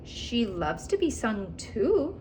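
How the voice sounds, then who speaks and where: A woman's voice: a little high, sing-song, slow, a little mellow, a little hiss or hum, low-quality recording. Woman playing an obliging, lilting storybook neighbor lady. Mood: flustered, polite. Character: obliging, slightly flustered married woman neighbor.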